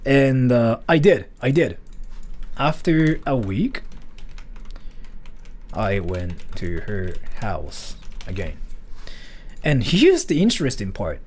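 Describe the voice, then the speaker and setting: A man's voice: mid-range voice, sing-song, slow, long pauses, a little mellow. A man from Japan, rambling through a casual story on a livestream with lots of filler words. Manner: rambling, heavy use of "like" fillers. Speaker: streamer.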